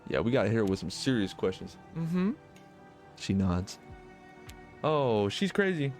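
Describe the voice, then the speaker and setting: A man's voice: on the low side, sing-song, measured pace, darkish tone, soft background music. A man hosting a gaming video, reading a visual novel's narration aloud with blunt, casual asides.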